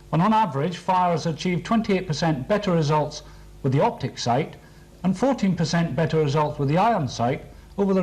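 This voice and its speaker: British male army presenter, slightly high voice. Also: a little mellow, low-quality recording. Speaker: military training film presenter. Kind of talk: formal scripted briefing.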